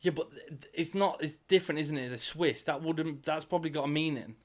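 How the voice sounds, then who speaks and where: Fast-talking sweary young man. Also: on the high side. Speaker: podcast co-host. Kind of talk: casual chat.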